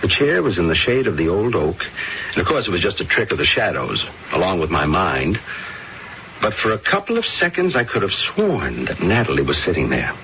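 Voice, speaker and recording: A man's voice: deep voice, huge pitch swings, a little fast, a little hiss or hum, full character voice. An actor playing a spooked man who thinks he saw a ghost, in an old radio drama with dull lo-fi sound. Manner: uneasy, wondering. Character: spooked middle-aged man at a country house. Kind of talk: 1970s radio drama acting.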